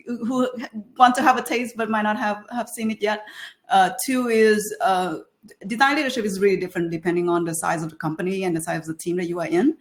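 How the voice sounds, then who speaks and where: A woman in her thirties from Vietnam with a Vietnamese accent, giving a webinar talk as a design leader, with many fillers and restarts. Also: mid-range voice. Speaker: guest speaker, design leader.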